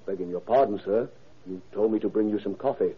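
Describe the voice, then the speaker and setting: An actor playing a timid, deferential manservant who stammers and hesitates in an old-time radio drama. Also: male voice, on the low side, brisk, slightly rough. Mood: meek. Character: timid, deferential, flustered male household servant. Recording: dull, muffled vintage radio recording.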